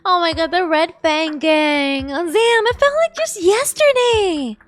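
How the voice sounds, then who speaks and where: A woman's voice: very high-pitched, animated, unhurried, dry recording. A woman game streamer giving live reaction commentary in a gushing, exclamatory, emotionally invested way. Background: game music.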